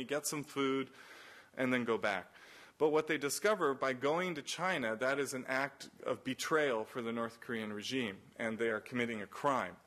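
A man's voice: medium pitch, sharp tone. A man giving an anecdotal symposium talk with slides as a nonprofit director, loose and full of fillers and restarts. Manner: acts out quoted exchanges in raised voices. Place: college symposium room.

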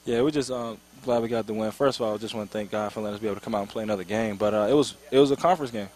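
A man's voice: lowish voice, racing, darkish tone, low-quality recording. A young man in his twenties, a college basketball player on a headset mic at a courtside table in an arena, talking after a game with frequent ums. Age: 20s. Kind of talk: postgame interview.

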